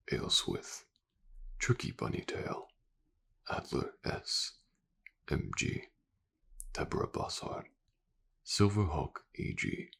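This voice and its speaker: Soft, low-voiced man reading thanks. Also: gravelly, breathy edge. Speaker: voice actor thanking supporters. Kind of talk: scripted outro, reading out credits.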